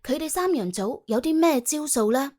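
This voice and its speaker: Hk woman telling a crime story, really animated, highish voice, music underneath. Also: normal speed. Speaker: audiobook narrator and host. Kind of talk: dramatised scripted narration of a comic crime story.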